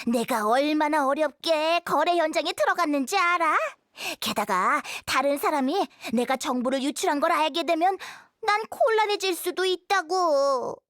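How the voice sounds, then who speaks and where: High-pitched, shrewd little girl merchant. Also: fairly bright tone, dry recording. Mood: smug, coy. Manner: sly, drawn-out, salesmanlike. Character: small, shrewd, greedy girl merchant, smugly wheedling. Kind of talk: anime game voice acting.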